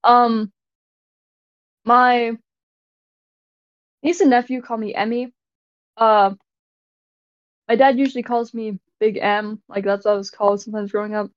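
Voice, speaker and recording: A woman hosting a casual podcast in a fairly deep voice, rambling and stumbling over her words a little. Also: steady tone, unhurried, long pauses, clear, resonant tone, no room sound. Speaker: podcast host. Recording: dull lo-fi dry audio.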